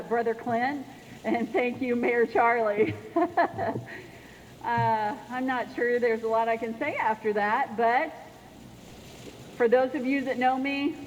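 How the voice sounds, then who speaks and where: A soft-spoken woman with a Southern Indiana accent speaks unhurriedly at an annual meeting, with chatty asides to the room. Her voice sits a little high. It rings out in a big banquet hall with a long reverb. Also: lo-fi sound. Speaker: chamber executive director. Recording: reverberant hall with faint background noise.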